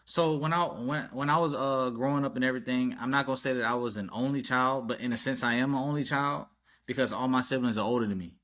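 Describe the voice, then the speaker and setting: A man from Arkansas talking as a podcast guest, relaxed and informal, with a habit of saying "yes, sir" and chuckling between stories. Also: medium pitch, fast talker. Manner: laid-back street talk, repeats "yes, sir", chuckles often. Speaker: podcast guest, tattoo artist and rapper. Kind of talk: casual interview chat.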